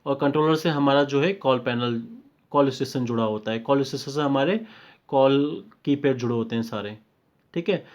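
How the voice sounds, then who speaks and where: A man's voice: mid-range voice, moderate pace. A man with an Indian accent giving an informal, step-by-step technical tutorial, explaining repetitively with short confirmations and mixing in English technical terms. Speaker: technical trainer. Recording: dull lo-fi microphone sound.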